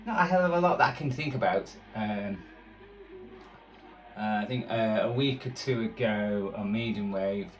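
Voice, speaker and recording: A low-pitched man talks casually and off the cuff as he tunes a radio, hesitating and thinking aloud. He sits back from the microphone, with music clearly playing behind him. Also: normal speed. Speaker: shortwave radio hobbyist presenter. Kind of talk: casual unscripted commentary while tuning a radio. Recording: distant mic with radio audio behind.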